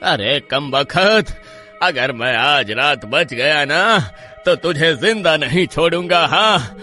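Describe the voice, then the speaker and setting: A man's voice: a little high, animated, slow, sharp tone, soft background music, heavily acted. A man playing panicky, cursing villagers in a horror story, exclaiming melodramatically. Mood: cursing dread. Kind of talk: exaggerated Hindi story character acting.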